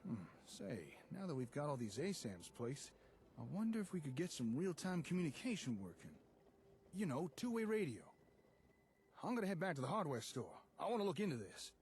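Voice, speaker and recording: A chatty, enterprising male tinkerer from a post-apocalyptic video game. His voice is on the high side and lively in pitch, easygoing and folksy in manner. Faint noise sits on the recording. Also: brisk. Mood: easygoing, encouraging. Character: chatty, enterprising male tinkerer guiding wasteland settlement builders. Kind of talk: in-game character dialogue.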